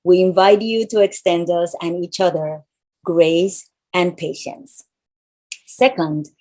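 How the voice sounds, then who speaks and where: A woman with a deep voice and a mild Spanish accent, reading scripted welcome announcements as the event host over a video call from a large room. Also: mellow, rough recording.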